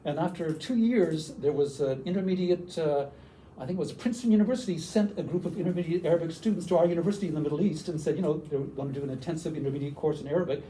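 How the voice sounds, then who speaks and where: A man's voice: on the high side, racing, warm tone, far from the mic, slight background noise, poor audio. Enthusiastic older Canadian man presenting. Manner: enthusiastic, tags lines with "okay?". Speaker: workshop presenter. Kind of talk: teacher workshop talk.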